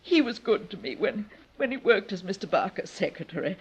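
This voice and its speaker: An actress playing a timid, distraught servant woman, speaking haltingly in melodramatic old-time radio style. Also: mid-range voice, sing-song, creaky, husky, full character voice. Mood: fearful, ashamed. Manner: halting, faltering. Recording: muffled vintage radio recording.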